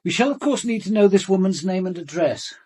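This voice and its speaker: An actor playing a composed, probing senior British police commander in a radio drama, speaking in a measured, formal, reflective way. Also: male voice, high-pitched, expressive, a little mellow, breathy edge, no echo, studio quality. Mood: cool, insistent. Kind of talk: radio drama acting with first-person narration.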